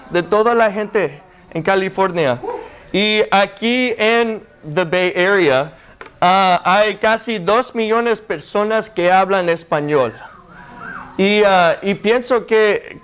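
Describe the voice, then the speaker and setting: A man's voice: high voice, smooth. A young American man whose first language is English, preaching a hesitant sermon in a second language with many fillers and restarts, speaking to a congregation. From United States. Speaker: guest preacher.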